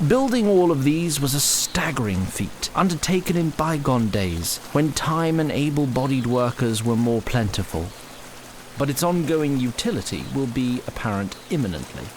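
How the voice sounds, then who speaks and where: A man narrating a scripted fantasy audio story in a measured, literary and slightly wry manner. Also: mid-range voice, a little hiss or hum.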